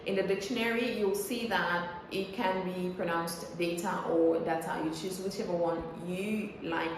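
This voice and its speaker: A woman's voice: lowish voice, steady tone, normal speed. A woman coaching English pronunciation in a conversational, explanatory style, enunciating carefully and posing rhetorical questions like a teacher. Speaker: English pronunciation coach and air traffic controller. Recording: very echoey room with faint noise.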